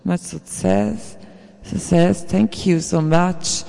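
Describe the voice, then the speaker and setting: Man, non-native English speaker, high gravelly voice, halting sample-sharing chat. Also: fairly even intonation, warm tone, long reverb tail. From Germany. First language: not English. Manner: halting, searching for words, slow with many fillers. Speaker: sound producer sharing samples. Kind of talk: casual video message.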